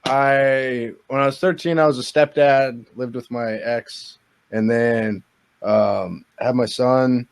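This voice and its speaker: A man's voice: mid-range voice, steady tone, takes it slowly, smooth. A twenty-year-old man in a casual group chat, talking in an earnest, rambling, reflective way as a host.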